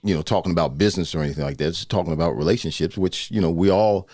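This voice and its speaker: A man in his fifties with a low voice and a loud, animated, playful way of talking, hosting a casual interview. He talks into a close studio mic, and the sound is dry. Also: racing, dry recording. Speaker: podcast host.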